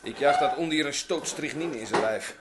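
An actor playing a brusque middle-aged doctor and scientist in an old radio drama, talking gruffly and matter-of-factly. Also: male voice, a little high, normal speed, very noisy, close to an everyday voice. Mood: determined. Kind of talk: 1960s Dutch radio drama acting.

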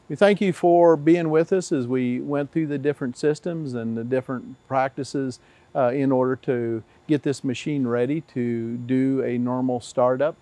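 A man's voice: a little high, dry recording. A man in his sixties, a service engineer, giving a methodical, plain-spoken walkthrough on a factory floor with a lapel mic. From Tennessee. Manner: methodical, plain-spoken, steady explanations with occasional "uh". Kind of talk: instructional walkthrough. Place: large factory floor, indoors.

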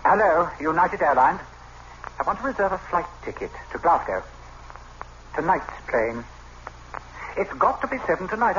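A man's voice: fairly high pitch, slight background noise. An actor playing a nervous, brooding man plotting a murder, in old-time radio drama style. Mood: hurried, polite. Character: nervous scheming husband plotting murder, narrating his tale. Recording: muffled old radio broadcast, loud music.

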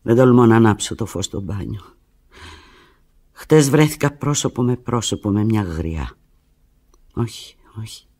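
An actress playing a desperate, lovelorn woman on the telephone to the lover who left her, in a theatrical radio drama monologue, halting and emotional with a breathy, husky voice. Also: rumbling low, lively intonation. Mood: sad, tender. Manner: halting, emotional, swinging from hushed murmurs to urgent cries.

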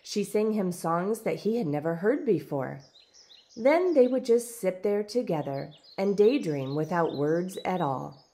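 A woman reading a storybook aloud in expressive, deliberate, dramatic narration. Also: lowish voice. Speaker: storybook reader. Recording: background noise with birdsong.

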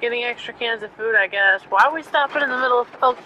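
A woman's voice: on the high side, quick pace. A woman chatting sarcastically and swearing during a road trip. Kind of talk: casual chat in a vehicle. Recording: noisy vehicle interior.

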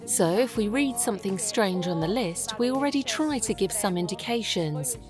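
A woman giving an English voice-over translation of an interview guest, reading in a steady, even manner. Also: medium pitch, normal speed, over music.

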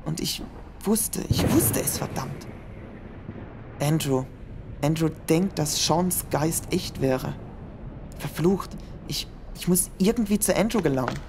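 A woman's voice: deep voice, animated, takes it slowly, pauses often, heavily acted. A woman voicing a tense, defiant young man. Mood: panicked. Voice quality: tense, urgent. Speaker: hero. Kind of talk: amateur audio drama acting. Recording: noisy background hiss.

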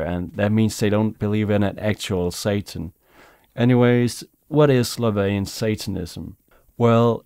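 A young man with Danish as his first language chats in English in a relaxed, casual way, often tossing in "man" and "you know." His voice sits low and smooth. It is recorded close and dry, with no room sound. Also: no echo. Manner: laid-back, chatty, says "man" and "you know". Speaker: YouTuber. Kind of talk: casual commentary over gameplay.

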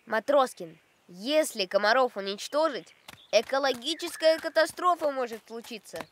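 An actor voicing a sensible young boy in an animated series, earnestly explaining and cautioning. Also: high voice, normal speed, slight background noise. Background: cartoon music.

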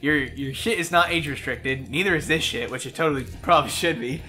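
A man's voice: on the high side, a little fast, sharp tone. A man casually bantering as co-host of a gameplay video, blunt and often swearing. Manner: profane, blunt. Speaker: let's play co-host. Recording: music under the voice. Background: game music.

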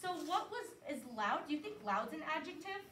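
A woman teaching a class of young children, lively and coaxing, with call-and-response cues. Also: very high voice, fairly quick. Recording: echoey classroom from far off, light noise.

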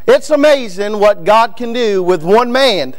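A man in his thirties with a Kentucky accent preaching a fiery Baptist sermon from a pulpit in a church sanctuary, often shouting and calling out men in the pews by name. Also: sits high, animated, measured pace. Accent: Northern Kentucky. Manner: builds to shouting, repeats lines, addresses men in the pews by name. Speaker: guest preacher. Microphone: pulpit microphone.

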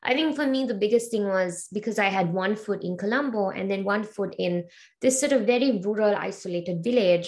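Young woman from Sri Lanka, slightly low and gravelly, speaking on a webcam call in a home room, thoughtful and hesitant. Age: 20s. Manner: thoughtful, many ums and uhs. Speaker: interview guest, learning experience designer. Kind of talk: casual video call interview. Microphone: video call webcam.

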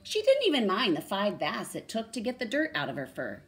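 A woman's voice: mid-range voice, wildly swooping pitch, a little fast, a bit bright. A woman in her thirties or forties reading a storybook aloud and explaining words. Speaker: read-aloud host. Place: small room indoors.

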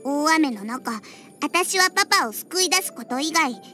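A woman's voice: high-pitched, animated, normal speed, sharp tone, soft background music. A woman voicing a proud, huffy young cat-girl bartender in an anime-style game, with a small childlike voice and cat-like word endings. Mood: reluctant, confiding. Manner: huffy, tsundere, slipping into cat-like "nya" endings. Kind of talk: Japanese anime-style game character voice lines.

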